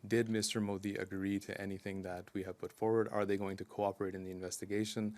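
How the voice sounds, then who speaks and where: A man speaking as a community advocate at a news conference, earnest and steady, with frequent ums and uhs. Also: on the low side, fast talker. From Canada. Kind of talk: press conference statement.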